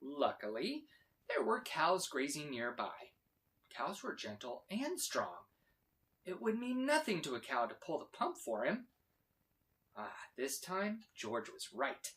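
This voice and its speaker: A man reading a children's story aloud with expressive, dramatic emphasis. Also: on the high side, slow pace. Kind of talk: animated read-aloud narration for children.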